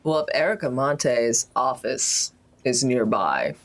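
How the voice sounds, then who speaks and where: A woman playing a tabletop role-playing game, chatting casually and thinking aloud in an animated way. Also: extremely low pitch, slow.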